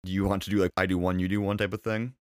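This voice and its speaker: A young man with a very deep voice co-hosts a casual podcast. He talks in a laid-back, dry way and pauses on fillers as he thinks. His voice sounds close and dry, with no room around it. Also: little pitch movement, dry recording. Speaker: podcast co-host.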